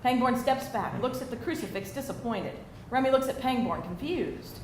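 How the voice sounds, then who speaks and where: A woman's voice: a little high, expressive, normal speed, darkish tone. A woman narrating stage directions from a script at a live table read. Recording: echoey room with faint hiss.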